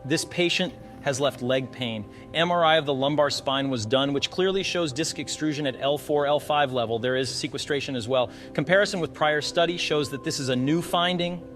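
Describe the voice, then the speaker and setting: A man's voice: on the high side, fairly quick, hardly any pauses, a bit bright, soft background music. A man narrating an explanatory case walkthrough as a radiology instructor, posing questions to the viewer as he teaches. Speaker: radiology instructor presenting cases.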